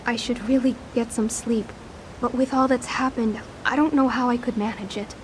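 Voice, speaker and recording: A woman's voice: on the high side, steady tone, normal speed, slight background noise, natural voice. An actress playing a polite, bewildered young daughter grieving her mother in a video game, earnest and courteous. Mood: overwhelmed, grateful. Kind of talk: dramatic video game dialogue.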